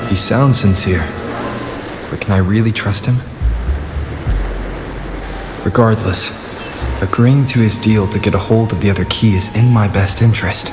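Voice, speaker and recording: An actor in a video game playing a calm, wary adventurer hero, measured and serious, as if thinking aloud. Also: male voice, fairly low pitch, over music, natural voice. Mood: wary, resolved. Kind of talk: video game character voice acting.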